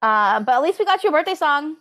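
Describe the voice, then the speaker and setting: A woman's voice: high-pitched, lively intonation, fast, a bit bright. A woman co-hosting a casual podcast, talking in a playful, colloquial, wry way. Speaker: podcast co-host. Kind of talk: casual chat about horoscopes.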